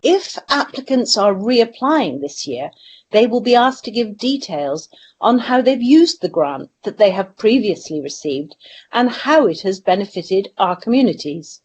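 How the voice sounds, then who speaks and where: A woman councillor speaks at a mid pitch. Her delivery is formal and measured, in the style of an answer at a council meeting. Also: big pitch swings, a little mellow, breathy edge, dull audio. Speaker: councillor, portfolio holder.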